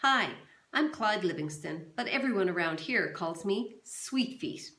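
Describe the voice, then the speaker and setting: A woman voicing a cheerful male baseball star who pitches a product in a TV ad, with an upbeat sales delivery, recorded in a roomy, echoey space. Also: expressive, a little slow, bright, forceful tone. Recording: roomy echo.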